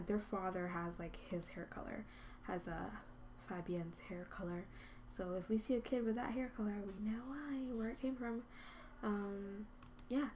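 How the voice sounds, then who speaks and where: Woman talking over her sim game, lots of ums, goes quiet and whispery, light hiss behind. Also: mid-range voice. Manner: chatty, rambling with many ums, often drops to a whisper. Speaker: gaming channel host. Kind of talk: casual let's-play commentary.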